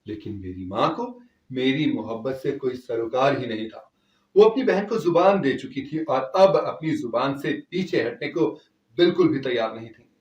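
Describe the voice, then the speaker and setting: A man's voice: medium pitch, darkish tone, muffled recording. A man narrating a scripted dramatic story in the first person, as a storyteller. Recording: echoey, distant from the mic.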